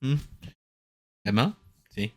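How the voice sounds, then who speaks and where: A man's voice: medium pitch, big pitch swings, slow, a bit bright. A man, giving casual sarcastic commentary as he watches a cartoon. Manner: wry, joking asides. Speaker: host reacting to a cartoon.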